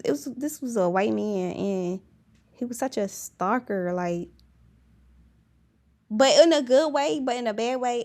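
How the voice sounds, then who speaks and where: A woman's voice: on the high side, gravelly. A young woman with a girlish, baby-like voice, hosting a podcast in a casual, rambling chat full of fillers, restarts and giggles. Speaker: podcast host.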